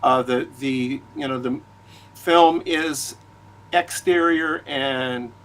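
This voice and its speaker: A man who is a guest author in a casual discussion, speaking haltingly and often repeating words as he gathers his thoughts. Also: medium pitch, fairly bright tone, poor audio. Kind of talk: casual discussion of film and writing.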